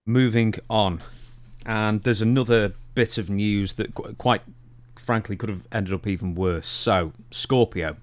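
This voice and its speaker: A man's voice: on the low side, unhurried, no echo. A man co-hosting a podcast, talking casually as he recaps news and puts questions to his partner.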